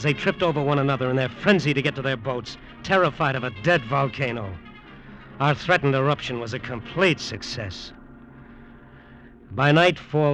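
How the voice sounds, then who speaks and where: A man's voice: mid-range voice, fairly bright tone, natural voice, low-quality recording. A man acting in an old radio suspense drama as a desperate, greedy resort builder who narrates his own downfall in an intense, hard-boiled way. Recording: old radio recording with music.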